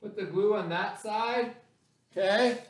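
A man in his forties teaches a craft lesson to young children over a webcam in his classroom. His voice sits a little high, and he walks through each step slowly and patiently, checking in with them as he goes. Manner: slow, step-by-step instructions to children. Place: classroom, indoors. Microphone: webcam at desk.

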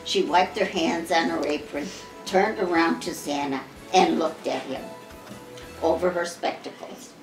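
Old american grandma reading a christmas poem, takes her time, lowish voice, echoey room. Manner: measured, expressive storybook reading with occasional stumbles. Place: living room at home. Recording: roomy home recording with music behind.

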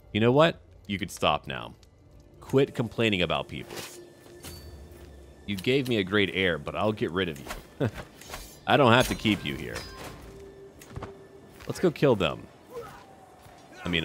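Low-pitched man narrating a strategy game. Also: very animated, a little slow, long pauses, fairly bright tone. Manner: talks to himself and game characters. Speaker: gameplay video host. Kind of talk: casual playthrough commentary on a strategy game. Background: music.

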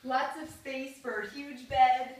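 A woman's voice: high-pitched, steady tone, slow, echoey room, far from the mic, background noise. A woman in her thirties giving a chatty, jokey tour of an empty new house, filmed on a phone. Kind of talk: casual home tour video. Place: empty house with bare rooms, indoors. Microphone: phone camera held by another person.

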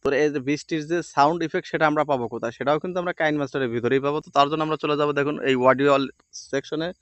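A man walks listeners through an on-screen task in an informal way. He talks quickly and repeats his pointers as he goes. The recording is close and dry. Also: fairly high pitch, a bit bright, no room sound, highs cut off. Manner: repetitive, instructional phrasing. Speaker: video editing tutorial presenter. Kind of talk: informal step-by-step screen tutorial.

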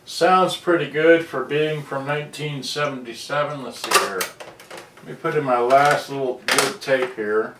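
A man's voice: mid-range voice, unhurried. American guy rambling thru a gadget demo, kinda far from the mic, lots of background noise. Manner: rambling, talking to himself as he works. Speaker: vintage cassette player repairer and seller. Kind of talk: casual unscripted product demonstration. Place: cluttered indoor workbench. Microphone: camera mic at a distance. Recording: roomy, distant camera mic, noisy, clicks.

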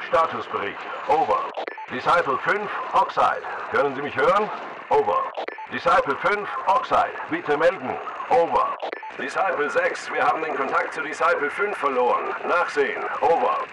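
A man's voice: fairly high pitch, noisy background, natural voice. A man playing a clipped, authoritative military command-post radio operator in a video game.

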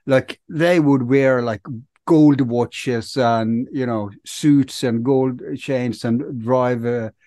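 A man in his thirties co-hosting a podcast, talking with halting restarts on a video call. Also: medium pitch, mellow, no echo, studio quality. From Norway. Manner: hesitant, repeats words. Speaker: podcast co-host. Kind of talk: casual conversation. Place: home study with bookshelves, video call. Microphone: headphones and studio mic.

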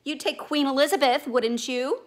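A woman voicing a bold, insistent male boatman in a storybook reading, his lines loud and emphatic. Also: high-pitched, moderate pace, pitched above the performer's own voice, close to an everyday voice.

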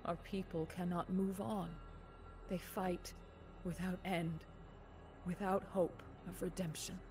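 A determined warrior of a suffering tribe in a fantasy game. Her voice sits a little low, earnest and sorrowful. Music is clearly heard behind it. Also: flat delivery, takes it slowly, a little mellow. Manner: solemn, determined. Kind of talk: fantasy video game voice acting.

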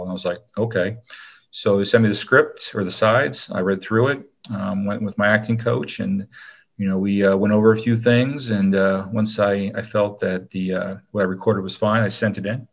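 Male interview guest, lower register, loose and rambling delivery with hesitant pauses. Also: fairly even intonation, vocal fry, dull audio. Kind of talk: casual interview chat.

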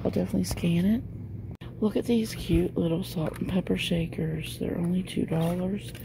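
A woman's voice: on the low side, normal speed, vocal fry, background noise. A middle-aged woman chatting casually as she films a walk through a large store, talking loosely with many fillers. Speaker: shopping vlogger. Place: inside a large discount store. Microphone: handheld phone camera.

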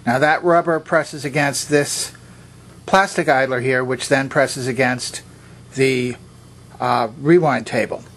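A man's voice: medium pitch, steady tone, takes it slowly, fairly bright tone. A man giving an unscripted, methodical demonstration, explaining things step by step in a plainly instructional way. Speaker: presenter of vintage electronics demonstrations.

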